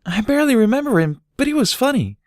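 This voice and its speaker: A man's voice: very high voice, very animated, fast, no room sound, clean studio sound, pitched above the performer's own voice. A man voicing a small, innocent, wistful girl pony foal in a childlike storybook voice.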